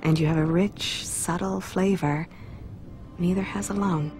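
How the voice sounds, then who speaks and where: A woman playing a cool, composed, thoughtful woman with a wry, measured manner in a video game drama. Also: on the low side, fairly even intonation, darkish tone, lightly acted, rough recording. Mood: musing, appreciative. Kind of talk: dubbed video game cutscene acting. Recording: music behind the voice.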